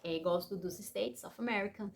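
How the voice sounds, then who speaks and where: Brazilian woman vlogger, Brazilian Portuguese accent, chatty, swinging from excitable to heartfelt. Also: medium pitch, normal speed, creaky. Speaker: YouTuber. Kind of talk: casual chat to camera.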